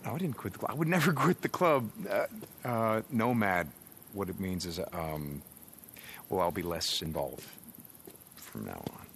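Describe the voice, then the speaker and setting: An actor playing a brash, sarcastic but tender-hearted American biker in a video game, with a gravelly voice. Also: male voice, low-pitched, expressive, moderate pace, a little hiss or hum. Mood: soothing, hesitant. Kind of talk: naturalistic video game acting.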